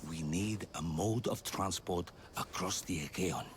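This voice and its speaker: An actor playing a cautious, serious leader of refugees in a video game, formal and deliberate. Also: male voice, low voice, a little hiss or hum. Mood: cautious, purposeful. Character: grave, cautious male refugee leader of ancient Greece. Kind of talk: video game cutscene acting.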